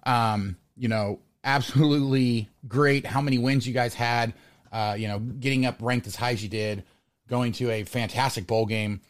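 A man's voice: medium pitch, steady tone, normal speed, no room sound. Rambling male sports podcast host, dry sound. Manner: rambling, repeats words and restarts often. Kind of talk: casual sports podcast talk.

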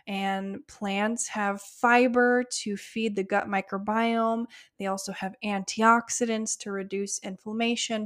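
A woman hosting a solo health podcast, her voice a bit hoarse and giving out, talking casually through lists with small fillers. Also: mid-range voice. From United States. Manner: conversational, list-like explanations with fillers. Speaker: podcast host, gut health practitioner.

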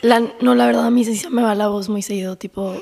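A woman in her twenties with a Mexican accent, hoarse and nearly voiceless, chatting casually as a podcast co-host in two mixed languages. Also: mid-range voice, steady tone, fast, warm tone. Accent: Mexican Spanish. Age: 20s. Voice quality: breathy, cracking into whisper. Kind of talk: casual chat, mixing Spanish and English.

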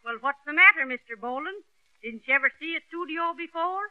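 An actress playing a chatty, excitable small-town wife in a 1930s radio comedy, exclaiming and then stammering politely. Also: female voice, sits high, a little fast, no echo. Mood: flustered. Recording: tinny old radio broadcast.

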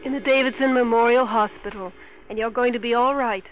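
An actress playing a calm, careful hospital nurse in a 1940s radio drama. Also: female voice, on the high side, fairly quick, slight background noise, natural voice. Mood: reassuring. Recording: muffled old radio recording.

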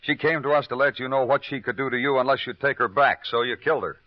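A man's voice: mid-range voice, fast, no room sound, natural voice. An American man acting a relentless, brooding police detective in a 1950s radio noir drama. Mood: accusing, pressing. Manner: clipped accusations, florid brooding monologue. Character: hard, relentless male police detective, brooding poetic narrator. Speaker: lead actor of a radio crime drama. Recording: dull old radio recording, faint music.

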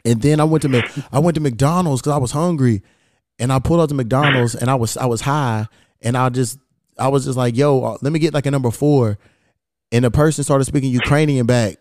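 A man's voice: medium pitch, brisk, darkish tone, no room sound, studio quality. A man hosting a podcast, telling stories in a slangy, profane, laid-back way. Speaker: podcast host. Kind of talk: casual podcast conversation.

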